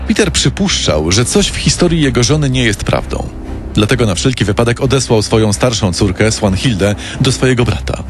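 A man's voice: mid-range voice, very animated, fairly quick, background noise. A man narrating a scripted true-crime story with music behind him.

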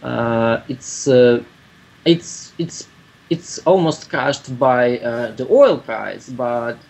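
A man in his thirties from Russia with a Russian accent, answering interview questions in halting English full of "uh" fillers, over a webcam video call in a small room. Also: mid-range voice, lively intonation, creaky, a little mellow, low-quality recording. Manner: halting, many "uh" fillers, searching for English words. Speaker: human rights activist, interviewee.

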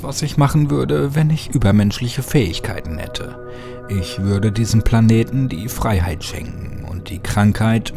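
A man reading a scripted first-person horror story dramatically, with brooding, deliberate phrasing. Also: on the low side, gravelly. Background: music.

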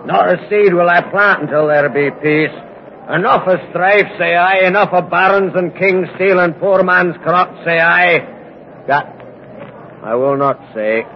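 Slow, stubborn medieval peasant. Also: male voice, high-pitched. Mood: dogged, weary. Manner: terse, cagey, archaic rustic phrasing. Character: stubborn, wary medieval peasant farmer from Northumberland. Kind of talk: 1950s radio drama acting. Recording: dull, noisy vintage radio recording.

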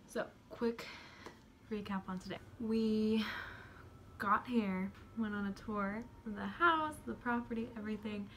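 A woman's voice: medium pitch, a little slow. A young American woman chatting to camera in a casual, upbeat, informal vlog style. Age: young adult. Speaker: vlogger. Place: parked car and bedrooms indoors. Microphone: phone camera at arm's length. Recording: noisy phone-camera vlog audio.

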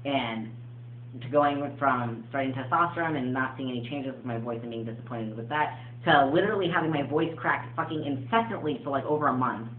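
Brief: young man, rambling personal vlog, mid-pitched voice, tinny echoey home recording. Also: fairly quick. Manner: rambling, hesitant, many fillers and restarts. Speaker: vlogger. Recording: echoey room, tinny phone-like sound.

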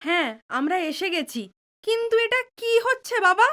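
A woman playing a stubborn, hot-tempered young daughter in an audio drama, sharp and accusing. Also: extremely high pitch, expressive, normal speed, heavily acted. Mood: outraged. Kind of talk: Bengali audio drama acting.

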